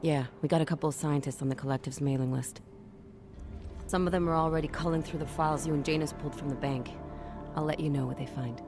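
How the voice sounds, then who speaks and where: A woman's voice: low voice, fairly even intonation, quick pace. An actress playing a passionate woman activist and ally in a video game, with a blunt, informal manner. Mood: relieved, businesslike. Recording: music behind.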